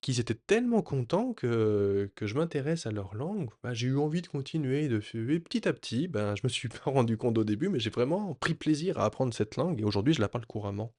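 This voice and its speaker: A man sharing a personal story in a reflective, earnest way, often pausing and restarting his sentences. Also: mid-range voice, very animated, dark tone, no echo, clean studio sound. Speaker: vlogger.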